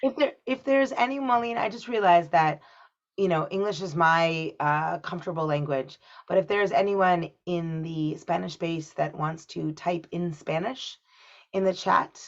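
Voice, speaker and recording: A woman with a low voice facilitates a group online. She is informal and encouraging as she prompts people to join in. The sound is a little dulled, as video calls can be. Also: a little slow. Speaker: workshop facilitator. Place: online meeting. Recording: muffled video-call audio.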